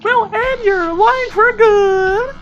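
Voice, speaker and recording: A man voicing a haughty, taunting female vampire in a loud, theatrical falsetto for a story reading. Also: takes it slowly, lo-fi sound, heavily acted. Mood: defiant, taunting. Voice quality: shrill falsetto. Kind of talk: amateur character voice in a story reading.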